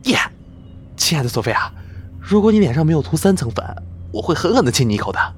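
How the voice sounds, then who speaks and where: A man's voice: on the high side, big pitch swings, quick pace, slight background noise. A man playing an eager, devoted young male celebrity in an audio drama, earnest and keen to please. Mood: gleeful, teasing.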